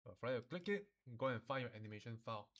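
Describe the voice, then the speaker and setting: A man's voice: medium pitch, animated, very fast, a bit bright. Man with a Malaysian or Singaporean accent, teaching. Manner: colloquial phrasing with sentence-final particles like lah and lor. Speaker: animation software instructor. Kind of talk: informal step-by-step software tutorial.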